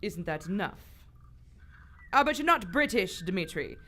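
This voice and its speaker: A woman's voice: mid-range voice, big pitch swings, normal speed, sharp tone. A woman playing a poised, wry aristocratic British explorer in live radio theater, dry and commanding. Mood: sombre, reflective. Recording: live stage recording with faint noise.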